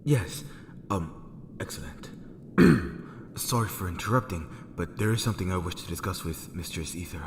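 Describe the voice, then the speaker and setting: Man playing deep-voiced, hesitant courteous lord. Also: lively intonation, vocal fry, slight background noise. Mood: awkward, hesitant. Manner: formal, halting, hesitant. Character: reserved, courteous male lord, awkward and hesitant in love. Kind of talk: fan audio drama acting.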